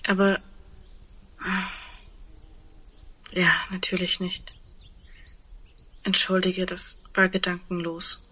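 A woman's voice: lowish voice, flat delivery, slow pace, pushed and bright, heavily acted. A woman acting in a German radio play as an earnest, insistent woman who clings to hope, speaking intimately and pressing with questions. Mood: apologetic.

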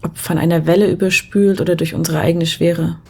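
A woman acting a tender, thoughtful character in an intimate audio spy drama, speaking softly and gently probing. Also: lowish voice, fairly even intonation, fairly quick, vocal fry, slightly rough. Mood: pensive.

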